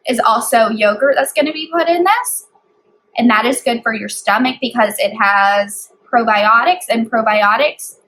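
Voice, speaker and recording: A woman's voice: fairly high pitch, fairly bright tone, slight background noise, poor audio. A woman hosting storytime as a children's librarian, reading aloud and explaining things in simple phrasing for young children.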